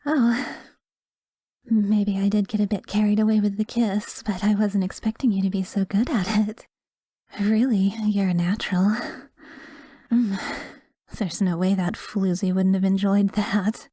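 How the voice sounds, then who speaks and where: Woman acting a possessive, soft-spoken female therapist, close and dry. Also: mid-range voice, flat delivery, measured pace, dry recording. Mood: composed, coaxing. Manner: soft-spoken, breathy asides, coaxing and controlling. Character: doting, possessive female therapist, manipulative and smoothly professional. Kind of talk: ASMR roleplay acting.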